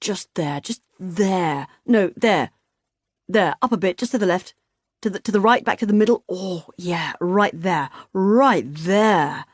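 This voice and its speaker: A woman reader voicing a relaxed, unbothered young man, in an audiobook. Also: low-pitched, moderate pace, hardly any pauses, slightly rough, no room sound, studio quality, heavily acted. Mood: blasé. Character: laid-back young man, blasé and teasing.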